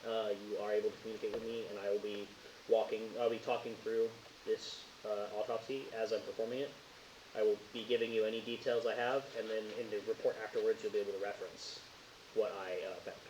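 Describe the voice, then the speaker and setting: A man voicing a courteous male coroner in a tabletop game, with formal, procedural and halting speech. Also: fairly low pitch, level tone, a little mellow, close to an everyday voice. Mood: formal, polite. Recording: roomy echo, dull lo-fi sound, faint hiss.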